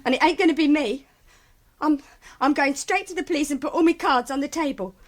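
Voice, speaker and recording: High-pitched feisty working-class English maid played by an actress. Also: female voice, brisk, close to an everyday voice. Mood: defiant. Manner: indignant, blunt, sarcastic. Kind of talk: British radio mystery drama acting.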